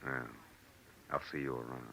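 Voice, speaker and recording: Deep-voiced hard-boiled radio private eye. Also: male voice, flat delivery, moderate pace. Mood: flirtatious, wry. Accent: American. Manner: clipped, dry, hard-boiled. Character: tough, wisecracking male private detective, cool and world-weary. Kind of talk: 1940s radio detective drama with first-person narration. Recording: old radio recording, faint noise.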